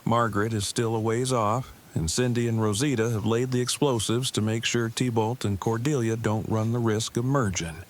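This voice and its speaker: A man's voice: medium pitch, fairly even intonation, normal speed, hardly any pauses, a little hiss or hum, natural voice. A man playing a polite, pedantic man with formal, wordy speech in an audio drama. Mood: measured. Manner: formal, verbose, precise wording. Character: polite, pedantic, formal-spoken man, easily embarrassed game master. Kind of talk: scripted audio drama acting.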